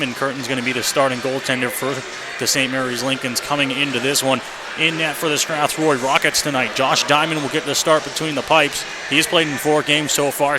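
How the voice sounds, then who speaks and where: A man doing live radio play-by-play commentary before a hockey game, as the announcer. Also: medium pitch. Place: indoor hockey arena. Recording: noisy live rink broadcast. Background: clear background arena noise.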